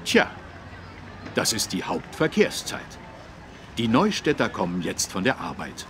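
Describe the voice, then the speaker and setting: A man playing a friendly policeman who courteously and clearly explains things to children in a learning game. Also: on the low side, huge pitch swings, a little slow, music in the background. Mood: instructive, patient. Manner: instructive, courteous, clearly enunciated. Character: friendly adult male policeman explaining traffic rules to children.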